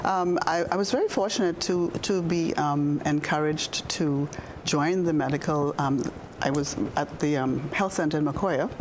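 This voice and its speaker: A woman in her sixties from the Bahamas, a guest doctor telling her story in a studio interview, unhurried, with many fillers and restarts. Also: low-pitched, a little mellow, a little hiss or hum, rough recording. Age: 60s. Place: television studio.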